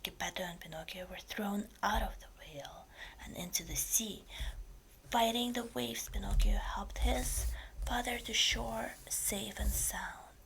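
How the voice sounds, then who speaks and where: A woman reading a fairytale as ASMR in a breathy whisper, slowly and deliberately. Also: mid-range voice, steady tone, fairly bright tone, slight background noise, rough recording. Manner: slow, deliberate storytelling delivery. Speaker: ASMR creator and story reader.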